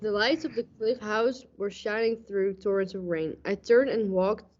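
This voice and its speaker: A young woman playing a cynical, hardboiled police lieutenant with deadpan, tough-talking noir narration in a radio play parody. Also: mid-range voice, moderate pace, close to an everyday voice. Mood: brooding, deadpan. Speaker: student radio play actor. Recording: dull, muffled lo-fi recording.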